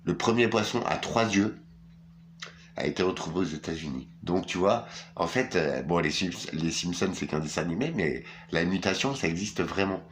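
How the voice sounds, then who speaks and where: A man's voice: deep voice, normal speed, a little hiss or hum. A tired middle-aged man from France, ranting casually to camera, crude and rambling with many fillers and restarts. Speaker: vlogger.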